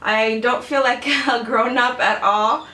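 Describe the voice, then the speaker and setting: A woman's voice: fairly high pitch, steady tone, slow, pushed and bright. A woman in her twenties chatting casually to camera in a beauty Q&A, rambling with lots of "like"s and little laughs. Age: 20s. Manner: chatty, rambling with many "like"s, giggly. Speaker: beauty vlogger. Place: bedroom filming space. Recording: roomy, from a distance.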